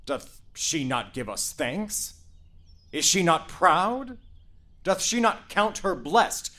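A man's voice: high-pitched, big pitch swings, slow, full character voice. Man playing a raging old noble father. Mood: indignant. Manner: blustering, commanding, rises to shouting rants. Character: furious domineering noble father, middle-aged. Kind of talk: Shakespeare stage reading.

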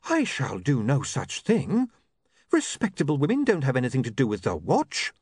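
A prim, proper young woman with a lilting, very animated voice that leaps high and low, as a male reader puts her on. She speaks precisely, in a dry, close storybook reading. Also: normal speed, husky, dry recording, full character voice.

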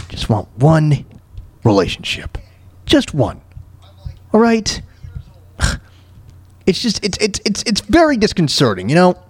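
A man hosting a show, delivering a frustrated, dejected personal rant in a halting, repetitive and emphatic way. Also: high-pitched, wildly swooping pitch, vocal fry, husky. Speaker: podcast host.

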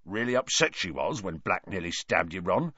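Storybook gamekeeper performed by a male reader: big, gruff, kindly, folksy, very expressive pitch, muffled recording. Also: moderate pace, dry recording, exaggerated voice. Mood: gently reproachful. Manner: rustic dropped words, folksy and plain-spoken.

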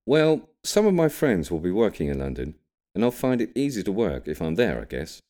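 A man acts a curious young student grandson in an audiobook. His pitch is raised above the narration, and his delivery is casual, eager and slightly hesitant. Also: very animated, normal speed, dark tone. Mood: tentative. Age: young adult. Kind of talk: audiobook character dialogue.

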